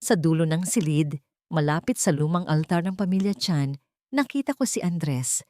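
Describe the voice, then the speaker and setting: A woman's voice: low voice, lively intonation, normal speed, darkish tone, no room sound. A woman narrator reading a scripted romantic drama in the first person, in a dramatic storytelling style.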